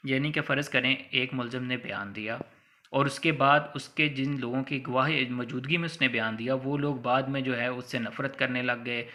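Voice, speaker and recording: A man's voice: medium pitch, fairly even intonation, moderate pace, sharp tone. A man with a Pakistani accent lecturing in a conversational, didactic way, explaining step by step and mixing in English legal terms. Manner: didactic, conversational, acts out hypothetical examples. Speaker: law lecturer.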